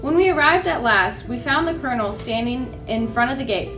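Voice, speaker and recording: High-voiced woman reading a children's storybook. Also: lively intonation, fairly quick. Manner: dramatic, with asides to young listeners. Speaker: library storyteller. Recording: echoey room with faint music.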